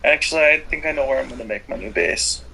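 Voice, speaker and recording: A man's voice: medium pitch, animated, quick pace, vocal fry, pushed and bright, slight background noise, poor audio. A man chatting casually as one of the players in a group game. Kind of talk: casual gaming chat.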